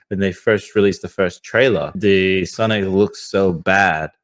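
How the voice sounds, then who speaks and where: A man's voice: low-pitched, a little slow, a bit bright. A man co-hosting a podcast, chatting casually. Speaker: podcast co-host.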